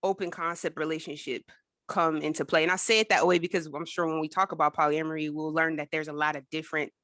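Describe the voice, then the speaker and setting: Upbeat podcast host woman, bright and dry. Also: low voice, fast, dry recording. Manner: upbeat, lively, casual. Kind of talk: conversational interview.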